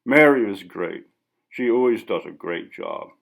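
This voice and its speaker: A man's voice: lowish voice, animated, a little slow, creaky, exaggerated voice. A man voicing a bitter, defensive sculptor in an audiobook story, curt and profane under questioning. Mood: dismissive. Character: bitter, hostile injured male sculptor being interviewed.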